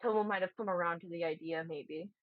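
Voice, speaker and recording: A woman's voice: lowish voice, quick pace, no echo. A woman player casually musing aloud with friends about a tabletop game, somewhat hesitantly. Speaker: tabletop role-play player. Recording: dull lo-fi mic, music behind.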